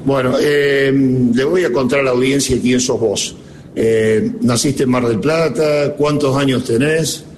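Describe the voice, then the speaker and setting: Argentine man hosting a radio interview, friendly questions, mid-range voice, faint rumble underneath. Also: unhurried, a little mellow. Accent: Argentine Spanish.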